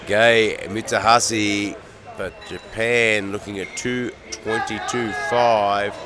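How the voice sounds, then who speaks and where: Australian male commentator, lowish voice, calm calls, audible crowd. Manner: steady, measured calls with dry jokes. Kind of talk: live sports commentary. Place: competition venue. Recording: crowd noise behind.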